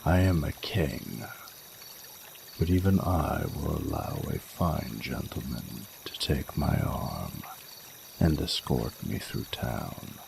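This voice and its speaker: An ancient, regal dragon king, played by a man, speaks in an exceptionally low rumble thick with gravelly fry. He is slow, formal and intimate, a tender, flirtatious lover in an audio romance, with clearly audible watery noise behind him. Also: darkish tone, exaggerated voice. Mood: courtly, affectionate. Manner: formal, intimate, archaic courtly phrasing. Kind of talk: scripted romantic audio roleplay. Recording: noticeable noise, running water sounds.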